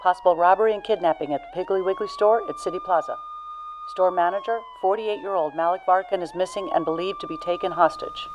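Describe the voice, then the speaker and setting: A woman acts a police dispatcher in a radio drama. She reads official bulletins briskly, at a middle pitch with lively intonation. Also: background noise. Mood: urgent, official.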